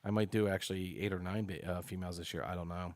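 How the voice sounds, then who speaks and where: Late-40s guy on a podcast, kinda low voice, super dry close sound, rambles with lots of "you know". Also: fast, no room sound. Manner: animated, jokey, many fillers like "you know" and "dude". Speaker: podcast guest, snake breeder. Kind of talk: casual podcast chat.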